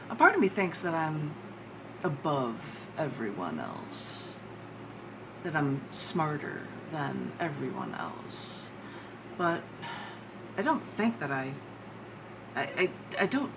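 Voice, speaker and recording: Low-voiced woman poet, noisy recording. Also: sing-song, slow pace, long pauses. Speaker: poet reading own work. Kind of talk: live poetry reading with chatty introductions.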